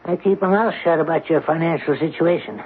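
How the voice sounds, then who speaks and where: A man's voice: on the high side, fairly quick, close to an everyday voice. A man acting in a 1970s radio drama as a sly small-time coin dealer, haggling in a wheedling, needling way. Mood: wheedling, shrewd. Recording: noisy, phone-like old radio recording.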